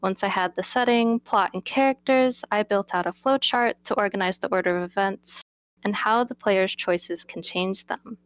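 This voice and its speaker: A woman's voice: mid-range voice, moderate pace, smooth, no echo, muffled recording. A woman game developer and designer answering Q&A questions, partly reading from prepared notes. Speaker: game developer and character artist in a Q&A.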